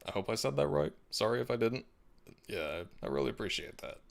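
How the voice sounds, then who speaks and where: A man hosting a casual livestream, chatting in a laid-back, musing way with frequent restarts and soft laughs. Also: on the low side, big pitch swings, fast, gravelly. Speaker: livestream host. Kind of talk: casual livestream chat, reading viewer comments.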